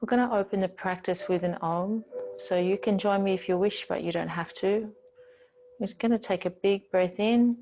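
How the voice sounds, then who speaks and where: A woman teaching yoga, giving gentle, soothing, unhurried guided instruction with pauses between phrases. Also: medium pitch, lo-fi sound, slight background noise.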